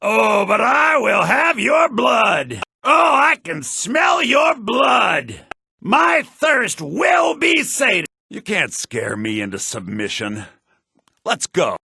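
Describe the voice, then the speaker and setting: An actor playing a brash, sharp-tongued fantasy townsman and fighter in a video game, with a rough, gravelly voice. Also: high-pitched, huge pitch swings, takes it slowly, no echo, studio quality, heavily acted. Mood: bloodthirsty.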